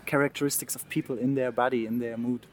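Guest, German-accented man, thirties, earnest and halting, faint traffic noise behind. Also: mid-range voice, fairly even intonation. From East Germany, Saxony. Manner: earnest, restarts words, laughs often. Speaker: guest, mime artist. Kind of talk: casual interview.

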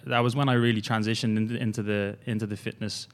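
A young man with an Irish accent, a gym coach, telling his story in a halting, rambling way with many fillers in a casual interview. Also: fairly low pitch, little pitch movement. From Ireland.

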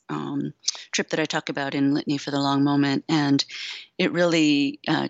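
A woman poet being interviewed, who speaks slowly and reflectively with many pauses and fillers, and also reads her own poem aloud. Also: low-pitched, gravelly, dry recording, lo-fi sound. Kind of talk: thoughtful interview talk and poetry reading.

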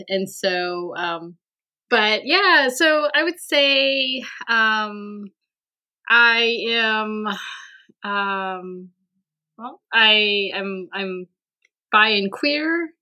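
A woman guest on a conversational podcast, speaking slowly. Her voice is bright, and she hesitates often, circling back with fillers and restarts. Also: mid-range voice. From Vietnam. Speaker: podcast guest, university administrator. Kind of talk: casual conversational interview.

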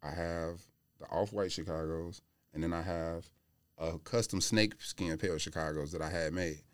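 A man's voice: low-pitched, fairly even intonation, normal speed, clear, resonant tone, no echo. A man talking casually and anecdotally on a podcast, with everyday slang. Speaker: podcast guest. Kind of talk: casual conversational chat.

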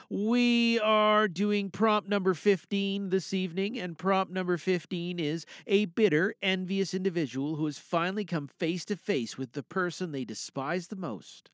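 A man's voice: fairly high pitch, fairly bright tone, no room sound, clean studio sound. A man hosting a podcast in a casual, jokey, rambling way, chatting through his introduction. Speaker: podcast host.

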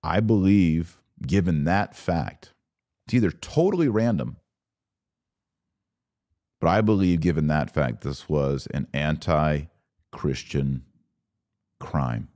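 A man delivering an opinionated podcast monologue as host, speaking emphatically with rhetorical questions and dramatic pauses. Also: a bass voice, expressive, mellow, no echo, clean studio sound.

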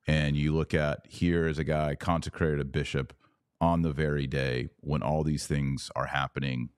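A man's voice: a bass voice, little pitch movement, moderate pace, clear, resonant tone, no room sound, clean studio sound. A man talking casually as the host of a podcast.

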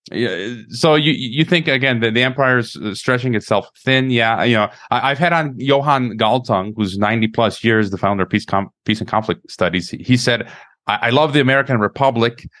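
A man from Croatia, hosting an interview in a measured, hesitant way. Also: medium pitch, animated, fairly bright tone, dry recording, clean studio sound. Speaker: interview host. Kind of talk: conversational interview.

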